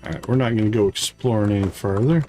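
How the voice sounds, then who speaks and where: A man's voice: on the low side, quick pace, mellow, faint music behind. A man hosting a livestream with casual, playful gameplay commentary, half talking to himself. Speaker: livestream host.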